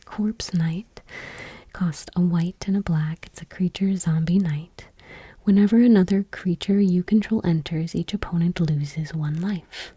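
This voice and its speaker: A woman doing gentle ASMR, reading aloud in a whispery, breathy, deliberate voice close to the microphone. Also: on the low side, no echo. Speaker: ASMR video creator. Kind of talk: soft-spoken ASMR, reading trading cards aloud. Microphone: close microphone, dry.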